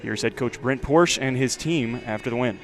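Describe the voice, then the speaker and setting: A man's voice: mid-range voice, moderate pace, faint music behind. American man, polished sports broadcast opener. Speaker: play-by-play broadcaster. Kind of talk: scripted sports broadcast introduction.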